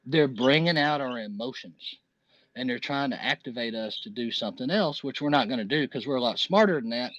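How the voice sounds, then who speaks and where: A man's voice: mid-range voice, a bit bright. A man in his fifties talking at length on a live audio chat, rambling and emphatic, with restarts. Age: 50s. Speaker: caller. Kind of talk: casual chat. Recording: dull lo-fi audio.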